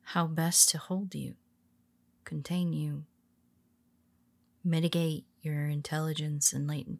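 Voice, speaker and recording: A scheming heroine, voiced by a woman speaks low and unhurried, with a gravelly edge on her words. She keeps her tone calm, wry and confiding, and often drops into a soft whisper. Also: full character voice. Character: cool, scheming female superhero confessing to her nemesis. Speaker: voice actor. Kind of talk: audio roleplay monologue.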